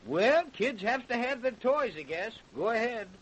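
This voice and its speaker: A man's voice: very high voice, expressive, moderate pace, a bit bright, low-quality recording. An actor playing a folksy, skeptical and self-assured small-town lawman in an old-time radio crime drama, with colloquial phrasing. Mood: gruffly dismissive. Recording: hissy old radio recording.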